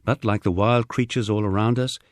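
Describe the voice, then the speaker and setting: A middle-aged man narrating a humorous country memoir as an audiobook, with measured, expressive storytelling. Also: lowish voice, dry recording.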